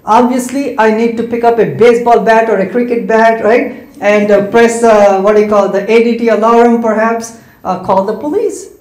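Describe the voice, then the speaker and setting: A man's voice: high-pitched, steady tone, moderate pace, darkish tone. A man whose first language is Hindi and Urdu, answering students' questions in a large hall on a handheld mic, listing his points and checking in with "right?". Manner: explanatory, lists points and adds "right?" checks. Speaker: Islamic speaker answering questions. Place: large indoor community hall. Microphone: handheld microphone. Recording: echoey hall.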